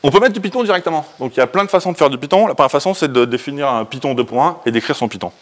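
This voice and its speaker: A man, giving an informal technical talk as a conference speaker, in a casual, colloquial, hurried manner. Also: fairly high pitch. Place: indoor presentation room, standing by a projection screen.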